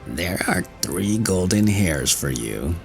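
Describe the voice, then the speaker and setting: Old crafty grandmother in a fairy tale, read by a man: low, gravelly, unhurried. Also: very animated, heavily acted. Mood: calm. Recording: faint music behind.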